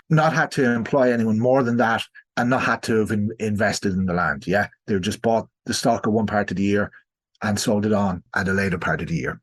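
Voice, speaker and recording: An Irish-accented man speaking as a historian guest in a conversational interview, explaining things discursively and often qualifying his own points. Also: on the low side.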